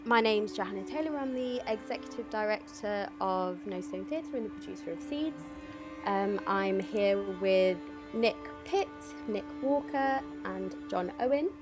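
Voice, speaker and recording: A woman's voice: mid-range voice, a little slow, over music. A woman hosting an interview as a theatre director and producer.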